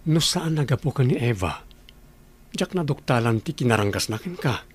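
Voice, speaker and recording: Male actor as a calm father in his thirties, middle pitch, kindly authority, radio drama. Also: moderate pace, slightly rough. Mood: gentle, fatherly.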